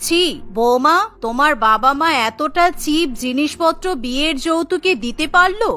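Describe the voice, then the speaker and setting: An angry older mother-in-law from a cartoon, acted out by a woman, scornful and domineering. The voice is high and sharp, scolding with indignation, with light music underneath. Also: normal speed.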